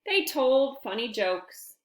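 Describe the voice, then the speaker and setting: A woman reads a children's storybook aloud in an expressive, deliberate way. Her pitch swings widely and her pace is unhurried, with friendly asides to young listeners. The room adds a noticeable echo.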